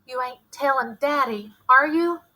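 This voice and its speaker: A woman's voice: high voice, measured pace, sharp tone, voice pushed up, heavily acted. A woman reading a storybook as an anxious, put-upon Southern boy who pleads with his mother. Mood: dismayed pleading.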